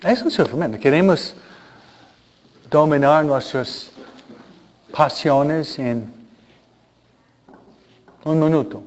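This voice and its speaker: A male priest delivers a casual sermon at a very unhurried pace, with his voice sitting a little high. He hesitates often and tosses rhetorical questions to the congregation. The recording sounds muffled and lo-fi. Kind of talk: conversational sermon with humor.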